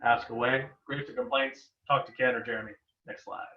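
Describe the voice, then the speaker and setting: A man's voice: medium pitch, steady tone, a little fast. A man giving an informal webinar presentation as a guest air traffic controller, casual and given to joking asides. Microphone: online video call. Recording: echoey, distant, dull webinar microphone.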